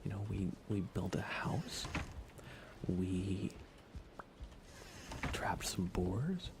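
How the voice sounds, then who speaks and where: A man streaming ASMR in a soft, often whispery voice, chatting in an unhurried, low-key way with pauses. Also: noisy background. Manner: hushed, unhurried, relaxed delivery with pauses. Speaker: ASMR livestreamer.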